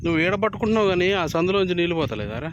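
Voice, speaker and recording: A man's voice: sits high, sing-song, very fast, highs cut off, noisy background. A man giving casual vlog commentary outdoors, bantering as he hosts. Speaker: vlog host filming children fishing. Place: outdoors by water.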